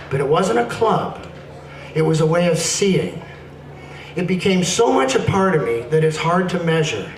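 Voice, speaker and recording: A man giving a playful, dramatic spoken-word performance, reading aloud in a casual live setting. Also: fairly high pitch. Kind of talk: animated live poetry reading with casual introductions. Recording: roomy, distant mic with faint noise.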